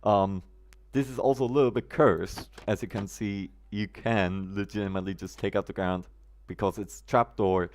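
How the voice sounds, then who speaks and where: A man's voice: on the low side, steady tone, moderate pace, a little mellow. A man from Germany, chatting casually on a gameplay live stream, greeting viewers by name in quirky, roundabout phrasing.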